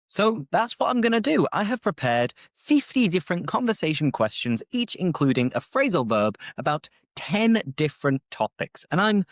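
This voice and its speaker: A man's voice: sits high, big pitch swings, normal speed, hardly any pauses, no room sound, studio quality. A British man hosting an English-learning podcast, chatting casually off the top of his head and explaining phrases as he goes. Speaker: English teacher and podcast host. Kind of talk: casual unscripted teaching monologue.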